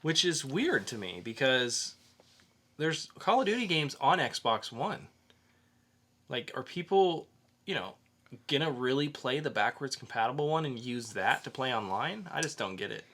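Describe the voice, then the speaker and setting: Bright-voiced man chatting through geek news. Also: medium pitch, very animated, normal speed. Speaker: podcast co-host reading entertainment news. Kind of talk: casual geek news chat.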